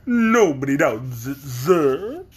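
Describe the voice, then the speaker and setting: A reader doing a pompous, slow-talking giant bug. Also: mid-range voice, very animated, husky, exaggerated voice. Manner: slow, self-important, boastful.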